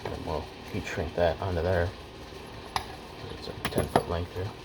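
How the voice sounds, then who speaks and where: A man's voice: low voice, level tone, measured pace, heavy background noise. A man giving a casual hands-on how-to as an amateur hobbyist, muttering to himself in short fragments as he works. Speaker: hobbyist demonstrating electronics repair.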